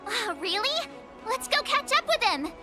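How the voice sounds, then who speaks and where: A woman's voice: extremely high pitch, sing-song, measured pace, heavily acted. A woman voicing a bubbly, teasing young woman adventurer in a game. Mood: cheerful. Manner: bouncy and teasing. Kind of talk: game voice acting. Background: game soundtrack music.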